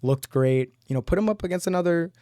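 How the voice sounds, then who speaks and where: A man's voice: on the high side, lively intonation, fast, mellow, no echo, studio quality. A young man giving a casual, rambling fight recap, full of slang and constant "bro"s. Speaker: MMA commentator.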